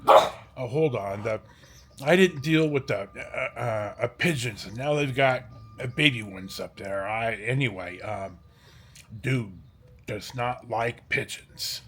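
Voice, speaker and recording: An older man with an American accent telling personal stories in a casual, rambling way, halting and digressing and acting out bits of dialogue. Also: medium pitch, fairly bright tone, a little hiss or hum.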